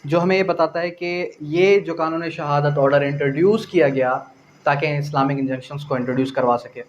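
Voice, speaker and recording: A Pakistani man teaches law in a slightly high voice. He explains point by point, often repeating a phrase and posing a question before answering it. English legal terms are mixed into his speech, and faint noise runs through the recording. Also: fairly even intonation, brisk, highs cut off. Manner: didactic, repeats phrases and asks rhetorical questions. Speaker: law lecturer. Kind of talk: exam-prep lecture, explaining point by point.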